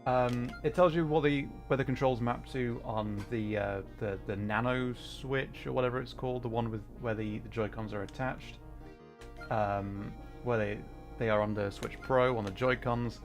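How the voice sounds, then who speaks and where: Man, game livestreamer, casual chat, medium pitch, short reactive remarks, thinking aloud, light music behind. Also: fairly even intonation, normal speed. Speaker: livestream host, VTuber.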